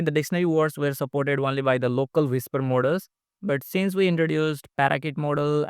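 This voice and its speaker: A young man from Nepal, a developer casually walking through a software update in a small room. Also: mid-range voice, gravelly, clear, resonant tone, no room sound, studio quality. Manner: plain, matter-of-fact explaining. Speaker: app developer. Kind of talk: casual screen-recorded product update.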